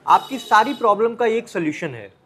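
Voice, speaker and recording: An Indian man with a notably high-pitched voice gives informal advice as a vlogger. He speaks bluntly and directly to the listener, often repeating phrases and posing rhetorical questions. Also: lively intonation, a little fast, fairly bright tone, a little hiss or hum. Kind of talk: informal motivational advice talk to the viewer.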